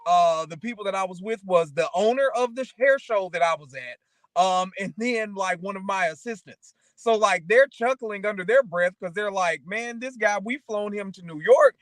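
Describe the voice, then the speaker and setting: High-pitched man in casual motivational chat. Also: moderate pace, dry recording. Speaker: talk show co-host.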